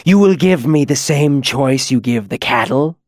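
A man voicing a captive male demon in a fantasy story, hissing, rasping, venomous and defiant, spitting out his words in desperate hatred. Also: medium pitch, animated, unhurried, dry recording, studio quality. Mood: hissing scorn. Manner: shrieking, spitting curses.

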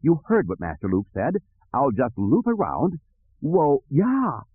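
A man's voice: medium pitch, huge pitch swings, unhurried, breathy edge, dry recording, phone-quality sound, pitched above the performer's own voice, heavily acted. A man voicing a fussy, anxious protocol droid with a prim, formal manner in an audiobook. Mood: fretful.

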